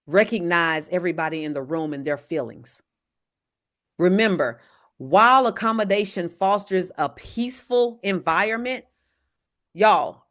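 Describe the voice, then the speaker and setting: A woman, an evangelist teaching a church leadership lesson in a loud voice. She speaks to the audience directly and checks in with them often. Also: fairly low pitch. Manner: says she has a very loud voice; talks to the audience directly, often asking "right?".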